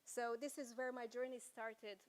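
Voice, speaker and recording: A woman's voice: on the high side, flat delivery, normal speed. A Hungarian-accented woman in her forties giving a keynote talk at a conference, telling her story with rhetorical questions. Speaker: keynote speaker. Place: conference hall.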